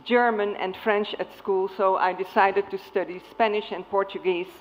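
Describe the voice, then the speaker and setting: A woman's voice: medium pitch, steady tone, normal speed, a little hiss or hum. A woman from Suriname whose first language is Dutch, speaking earnestly from the audience at a panel discussion. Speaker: audience member, former member of parliament. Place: conference hall. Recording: muffled audio in a reverberant hall.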